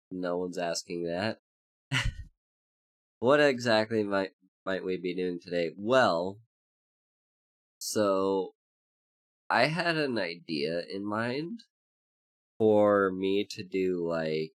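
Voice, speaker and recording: A deep, unhurried streamer voice, stuffy and hoarse from a cold. Chats loosely with viewers, wandering through fillers and restarts. Recorded at a desk mic for a live stream. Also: animated, poor audio. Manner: rambling, with many fillers and restarts; greets chat members by name. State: congested and hoarse from illness. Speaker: VTuber streamer. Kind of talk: casual livestream chat.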